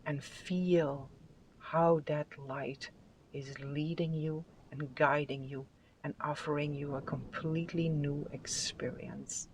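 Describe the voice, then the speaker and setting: Slow, soothing tarot card reader. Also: medium pitch, steady tone, a little hiss or hum, low-quality recording. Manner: soft, soothing, unhurried delivery with drawn-out phrases. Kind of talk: spiritual tarot reading with affirmations.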